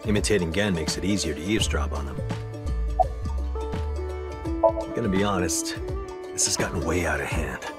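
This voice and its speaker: A man playing a gruff, sardonic middle-aged detective in a dubbed video game, with a low voice and a dry, deadpan manner. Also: normal speed, pauses often, lightly acted. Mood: serious. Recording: music behind the voice.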